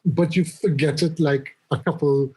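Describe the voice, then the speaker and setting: A man in his mid-forties talks through ideas on a podcast, often restarting as he hunts for a word. His voice sits a bit high and sounds husky. He teases his co-host with dry jabs. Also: fairly even intonation, unhurried, mellow. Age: 40s. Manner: rambling, restarts often, teasing. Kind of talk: casual chat. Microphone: remote call.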